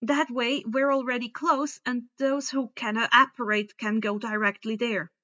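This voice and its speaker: A woman voicing an earnest, hurried teenage boy wizard in a fantasy story reading. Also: a little high, steady tone, pushed and bright, no room sound, muffled recording. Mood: decisive. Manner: earnest, rushed.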